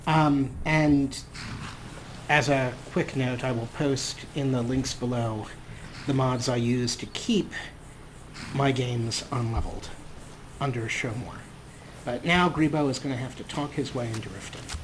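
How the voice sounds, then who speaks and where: A man's voice: mid-range voice, measured pace, noisy background. A man hosting a video game let's play, commenting in a wry, self-deprecating way. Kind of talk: casual gameplay commentary.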